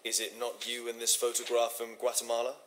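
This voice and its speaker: An actor playing a cool, commanding gentleman detective in a video game, speaking in a brisk, precise and formal way. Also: medium pitch, monotone, background noise, close to an everyday voice. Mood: formal, probing.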